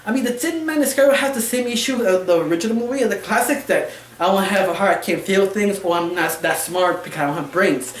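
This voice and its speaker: Casual female reviewer, mid pitch, opinionated and rambling, halting non-fluent phrasing, roomy echoey recording. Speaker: cartoon reviewer.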